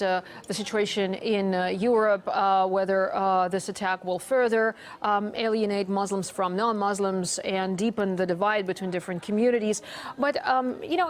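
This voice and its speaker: A woman's voice: mid-range voice, slight background noise. A woman presenting TV news and interviewing a guest, with many hesitant fillers. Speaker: news anchor.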